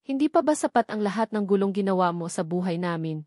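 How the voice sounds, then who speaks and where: A woman's voice: medium pitch, expressive, fast, darkish tone, dry recording, studio quality, lightly acted. A woman with a Filipino accent voicing a hurt, guarded doctor and mother in a dramatic story. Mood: cold, hurt.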